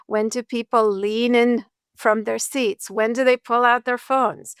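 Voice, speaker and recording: A woman speaking as a public speaking coach in a podcast interview, explaining in an articulate, measured and reassuring way. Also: fairly high pitch, fairly even intonation, clear, resonant tone, no echo, studio quality.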